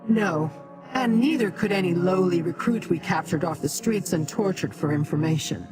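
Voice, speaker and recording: A game actor voicing a haughty, imperious demonic being who talks down to mortals in formal, measured speech. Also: medium pitch, lightly acted, poor audio. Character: haughty, imperious Daedra ally, scornful of mortals. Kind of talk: scripted video game dialogue. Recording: music behind the dialogue.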